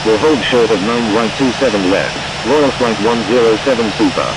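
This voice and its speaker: A man's voice: mid-range voice, brisk, heavy background noise. A man speaking as a pilot, giving procedural, formulaic radio readbacks. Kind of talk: scripted air traffic radio readbacks.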